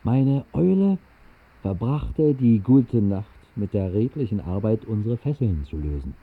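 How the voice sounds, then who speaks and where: A man's voice: fairly low pitch, sing-song, a little slow, husky, full character voice. An actor narrating a 1960s radio drama as a whimsical bohemian drinker, telling his tale in a wry, literary way. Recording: tinny band-limited 1960s radio recording.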